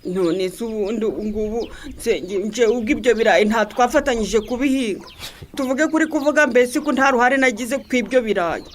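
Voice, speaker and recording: Woman acting a quick, high-voiced, fed-up Rwandan wife. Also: slight background noise, natural voice. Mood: reproachful. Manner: complaining, insistent. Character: fed-up, reproachful market-trader wife. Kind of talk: radio drama acting.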